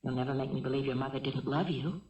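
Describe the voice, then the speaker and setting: An actress playing a calm, caring middle-aged mother held hostage in a 1970s radio drama, measured, earnest and gently persistent. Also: a bass voice. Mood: gently coaxing. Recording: dull, echoey vintage radio recording.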